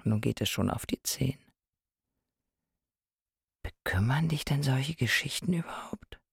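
A sceptical, teasing villager in a radio play. Her voice is extremely creaky and heavily husky. She delivers her lines with dry mockery. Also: extremely low pitch, expressive, measured pace, full character voice. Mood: sardonic. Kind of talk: radio drama acting.

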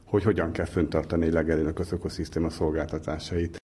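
Deep, husky, mellow-voiced male scientist. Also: level tone. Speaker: research scientist interviewed as expert. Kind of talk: explanatory radio interview.

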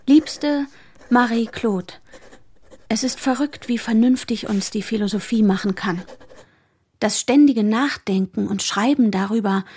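A woman's voice: a little high, dark tone, slightly rough. An actress playing an earnest, self-disciplining teenage girl with romantic ambitions, speaking as an inner voice in a literary radio play.

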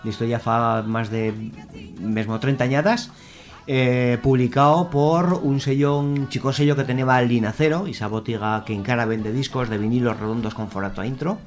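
A man hosting a radio show, presenting music casually and pausing to search for his words. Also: mid-range voice, steady tone. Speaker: radio host.

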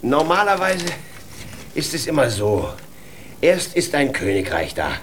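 A man's voice: medium pitch, sing-song, a little slow, a little hiss or hum. A man playing a bemused, sceptical father who muses and mutters his way through a children's radio play. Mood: musing, busy. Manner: sceptical, musing, sometimes muttering. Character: bemused, pondering new father and poor would-be king.

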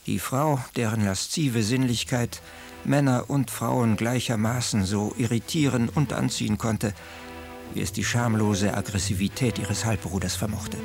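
An actor playing a contemplative, fascinated literary observer, speaking deliberately in a radio drama. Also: male voice, lowish voice, fairly even intonation, vocal fry, music in the background.

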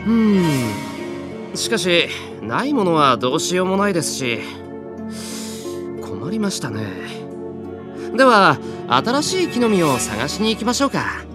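A young fairy with impeccably polite speech and a teasing, slyly mocking streak. The intonation is very lively, in audio drama style, with clear music behind. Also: male voice, high voice, measured pace, long pauses. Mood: mildly puzzled, playful. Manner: courteous speech with sly mockery. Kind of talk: animated Japanese audio drama acting. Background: music and animal sounds.

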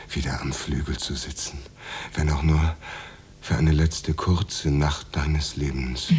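An actor in a radio drama playing a menacing, coaxing Soviet official who speaks in a deliberate, insinuating way. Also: male voice, a bass voice, darkish tone, a little hiss or hum. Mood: quiet, wistful.

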